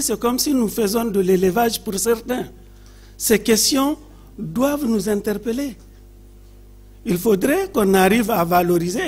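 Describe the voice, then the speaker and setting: A man from Niger with a Nigerien accent, speaking off the cuff as an adviser at a seminar, phrasing his points deliberately with frequent pauses. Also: high voice, darkish tone, breathy edge. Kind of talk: seminar intervention, unscripted public speaking.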